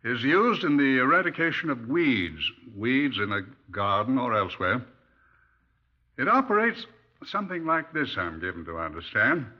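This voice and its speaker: An actor playing a formal, pedantic British chief superintendent who narrates a 1950s radio crime drama. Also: male voice, medium pitch. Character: pompous police chief superintendent, museum custodian, pedantic. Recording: dull 1950s radio recording.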